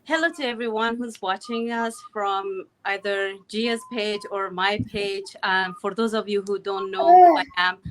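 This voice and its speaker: A woman's voice: medium pitch, lively intonation, measured pace, few pauses, rough recording. Woman in her 30s from afghanistan talking about her work, earnest, says "right" a lot, over video call. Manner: earnest, talkative, often says "you know" and "right". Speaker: guest, accountant and online business owner. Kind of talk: casual interview talk. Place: home in Calgary.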